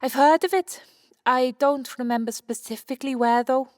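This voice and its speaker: A woman playing a brisk, clinical scientist wife in an audiobook drama, matter-of-fact and firm. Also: high voice, darkish tone, dry recording, clean studio sound, natural voice. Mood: clinical, analytical. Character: Santa's brisk, clinical scientist wife, immortal, coolly determined.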